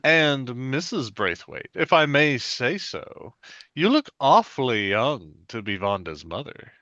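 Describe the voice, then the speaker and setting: A man playing a courteous, measured TV court show reporter in a live comedy table read. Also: mid-range voice, big pitch swings, pushed and bright, dry recording, dull audio. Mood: polished. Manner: measured, presenterly.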